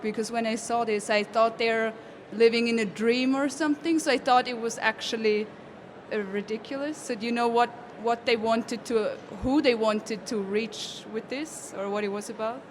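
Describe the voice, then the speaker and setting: A woman's voice: on the high side, steady tone, normal speed. A woman putting a spontaneous question from the audience at a panel event. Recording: faint live event noise.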